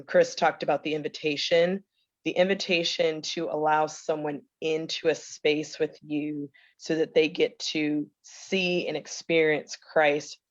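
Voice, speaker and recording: A woman in her forties, a youth ministry leader, speaking in a measured, reflective way with frequent ums on a panel. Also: low-pitched, no room sound, highs cut off. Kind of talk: panel discussion. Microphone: video call.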